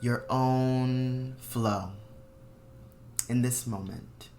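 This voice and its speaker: Very slow-paced meditation guide over music. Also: mid-range voice, steady tone, a little mellow. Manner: soothing, unhurried, with long pauses between short phrases.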